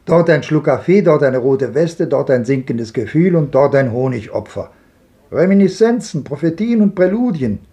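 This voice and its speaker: An actor playing an old gentleman who philosophizes ironically and declaims, in 1950s radio drama style. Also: fairly high pitch, animated, normal speed. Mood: ironic.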